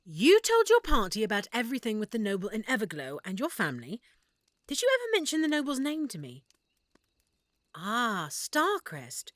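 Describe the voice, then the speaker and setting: A woman playing an affectionate, excitable half-elf shopkeeper in a roleplay audio drama, speaking expressively and warmly to the listener. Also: a little high, moderate pace, dry recording, studio quality. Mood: intrigued, attentive. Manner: expressive, warm, reacting with feeling.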